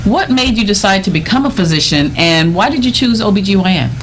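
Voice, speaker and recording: A woman's voice: mid-range voice, expressive, fairly quick, slight background noise, low-quality recording. A woman hosting an interview, asking questions and affirming answers in an encouraging way. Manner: encouraging, affirming with frequent "absolutely". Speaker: host, interviewer. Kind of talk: conversational interview.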